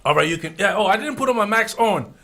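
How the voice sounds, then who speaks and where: Man, Ghanaian accent, radio host, higher pitch, animated, repetitive. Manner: animated, repeats phrases, drawn-out spelling of contacts. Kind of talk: casual radio chat, promos and interview. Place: radio studio.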